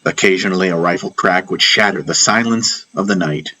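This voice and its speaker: A man's voice: low voice, a little fast, sharp tone. A man reading a scripted first-person story as an audiobook narrator, with music behind him. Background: background music.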